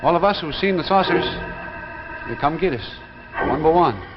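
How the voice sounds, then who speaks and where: A man's voice: on the high side, animated, normal speed, over music, close to an everyday voice. An actor playing an insistent, haunted old backwoods man with a folksy rural American dialect in a 1950s sci-fi drama. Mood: grim. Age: older. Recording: noisy, muffled 1950s television soundtrack.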